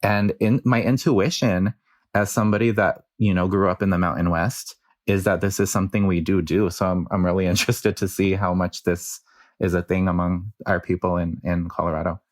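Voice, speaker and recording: A man's voice: sits low, steady tone, brisk, no room sound, studio quality. A young man with a New Mexican Chicano English accent, explaining thoughtfully as a researcher guest, with many fillers and restarts. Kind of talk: conversational podcast interview.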